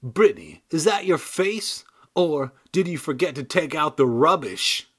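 A man voicing a rude ventriloquist dummy, male and British-sounding, with a shrill, harsh rasp. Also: very animated, a little slow, exaggerated voice. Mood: mocking.

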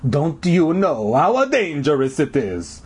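Man hamming up an Italian old janitor, swooping high. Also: wildly swooping pitch, a little mellow, breathy edge, full character voice. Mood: menacing. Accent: mock Italian. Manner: halting, drawn-out questions with tacked-on syllables. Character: tiny, menacing old night janitor with hammy Italian voice.

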